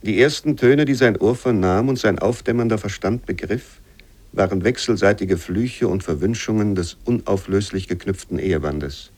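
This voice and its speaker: A man reading a bleak childhood memoir as a quoting voice in a radio essay, measured and sober. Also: lowish voice, a little mellow, natural voice. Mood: sober, grave. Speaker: reader of literary quotations. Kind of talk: scripted literary reading for radio.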